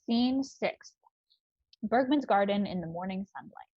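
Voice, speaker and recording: A female narrator reads out scene settings for a play. Her voice sits at a medium pitch and her delivery is scripted. The recording is a bit dull and lo-fi. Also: lively intonation, unhurried, slightly rough. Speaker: stage-directions reader.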